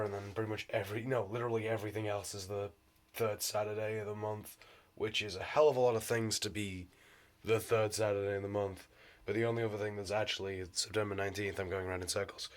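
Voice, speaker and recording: A man with a sore, hoarse throat, rambling casually in a vlog, swearing and laughing often. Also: fairly low pitch, fairly even intonation, a little fast. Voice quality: hoarse, voice cracking. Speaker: vlogger.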